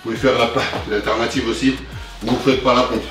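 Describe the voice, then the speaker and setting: A man's voice: medium pitch, level tone, fairly quick. A young man coaching a workout while exercising, cheering on with short, motivating instructions. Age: young adult. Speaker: fitness coach. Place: living room, indoors. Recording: very echoey room, distant mic, music behind. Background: clear music.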